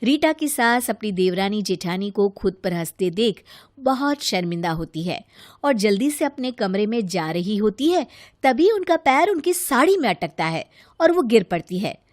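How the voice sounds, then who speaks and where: A woman's voice: medium pitch, very animated, moderate pace, no echo, clean studio sound. A woman narrator reading a scripted story.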